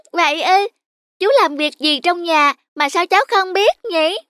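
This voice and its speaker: A woman's voice: extremely high pitch, measured pace, a bit bright, slight background noise. A woman voicing a sweet, lonely little boy in a cartoon, earnest and polite. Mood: curious. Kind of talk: cartoon voice acting. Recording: music behind the voice.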